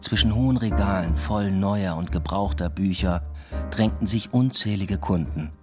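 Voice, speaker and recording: A male actor plays a young man, a doctoral student who reflects on his life and avoids committing. His voice is low and his delivery understated, as in a literary radio drama. Sound design sits around the voice. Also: normal speed, over music, natural voice. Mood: reflective narration. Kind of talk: radio drama, first-person literary narration and dialogue.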